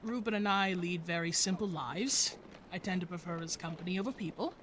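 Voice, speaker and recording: A woman's voice: fairly low pitch, brisk, a bit bright, a little hiss or hum, natural voice. Woman playing a fussy, genteel cat-doting lady. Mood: flustered, fond. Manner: prim, polite, gushing. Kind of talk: scripted audio drama acting.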